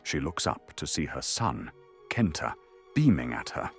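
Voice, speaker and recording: A man's voice: a bass voice, big pitch swings, a little slow, vocal fry, soft background music. A man narrating an audio drama in a dramatic, scripted storytelling style.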